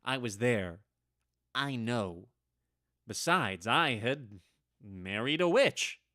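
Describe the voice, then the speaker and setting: Radio play lead, male actor: ageless wry storyteller, easily flustered, bright mid-pitched voice with lively swings. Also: takes it slowly, no room sound, studio quality. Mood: wry, reflective. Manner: expressive, dramatic, wry storytelling. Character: centuries-old Salem man, wry storyteller, easily flustered. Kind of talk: vintage radio drama narration and acting.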